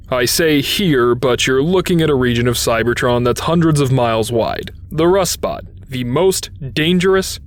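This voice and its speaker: A man's voice: medium pitch, lightly acted. A man playing a wisecracking, impatient robot negotiator, sardonic and emphatic.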